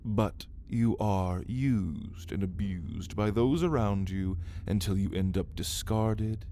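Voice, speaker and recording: Man playing a low, very slow, menacing vampire. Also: warm tone, slight background noise. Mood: wry, pitying. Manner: slow, theatrical, taunting, with whispered drawn-out words. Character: ancient caged male vampire, arrogant, menacing and amused. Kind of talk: ASMR roleplay, addressing the listener.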